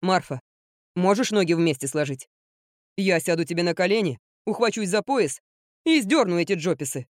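A woman's voice: lowish voice, lively intonation, no echo, studio quality, close to an everyday voice. Woman voicing grumbling, good-natured older man. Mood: good-natured, commanding. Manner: unhurried, gruffly good-humored.

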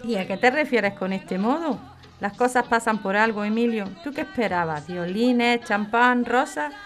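A woman's voice: on the high side, lively intonation, normal speed, faint music behind, lightly acted. A woman acting an older, practical, affectionate grandmother from Spain in a podcast drama, speaking frankly and coaxingly. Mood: fond, frank. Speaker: actor in an audio drama.

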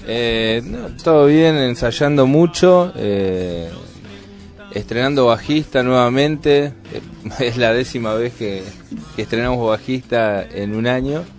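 Hesitant musician guest. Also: male voice, medium pitch, takes it slowly, a little mellow, soft background music. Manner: hesitant, many fillers, self-mocking. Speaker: band member guest. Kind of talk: casual interview.

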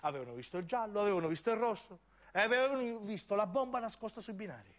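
A man narrates as a stage actor, in intense, deliberate phrases of dramatic radio storytelling. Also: high voice, expressive, a bit bright. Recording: piano music under the voice. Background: piano and keyboard music.

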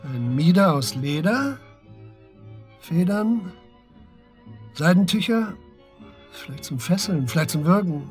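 A man acting a restrained police detective in a naturalistic German radio drama, speaking in a measured, matter-of-fact and slightly hesitant way. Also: fairly high pitch, lively intonation, faint music behind. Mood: tense, factual. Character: restrained, probing male police detective and old friend.

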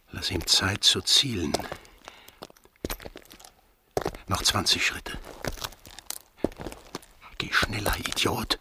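An actor with an Austrian accent playing a bitter, sardonic sailor in a radio drama, scathing and theatrical. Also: male voice, lowish voice. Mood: tense, muttering. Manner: scathing, sarcastic, swinging from hissed whispers to bellowing. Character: bitter, sardonic sailor confronting an old war criminal.

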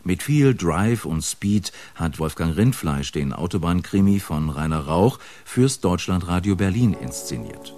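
A man announcing upcoming radio crime dramas in a smooth, scripted trailer narration. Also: sits low, sing-song, unhurried, few pauses, darkish tone, soft background music.

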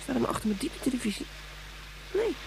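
An actor in a radio play voicing a hot-tempered, whiny young boy who shouts and stammers with indignation. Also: high voice, sing-song, fast, gravelly, slight background noise, exaggerated voice, rough recording. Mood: furious.

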